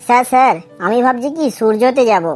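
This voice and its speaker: A woman's voice: a little high, fairly quick, a little mellow, soft background music, close to an everyday voice. A woman voicing a cheeky, silly young schoolboy in a comedy cartoon. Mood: cheeky earnest. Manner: cheeky, earnest silly answers. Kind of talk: comedy cartoon dialogue in Bengali.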